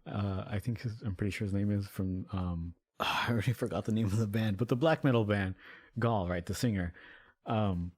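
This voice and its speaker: A man's voice: lowish voice, moderate pace, a little mellow, no room sound. A man giving casual commentary on his own video, rambling with many fillers and laughing easily. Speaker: musician and video maker.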